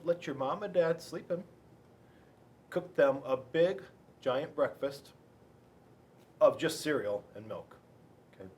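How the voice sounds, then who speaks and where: A man's voice: mid-range voice, darkish tone, slight background noise. A man reading a picture book aloud and chatting to children, playful and full of rhetorical questions. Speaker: school resource officer reading to children.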